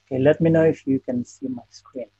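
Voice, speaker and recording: Man, dark husky voice, pausing often, muffled call audio. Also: mid-range voice, steady tone, no room sound. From Philippines. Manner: soft-spoken, frequent "uh" pauses. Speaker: guest. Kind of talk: video call interview. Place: indoor room. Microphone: video call.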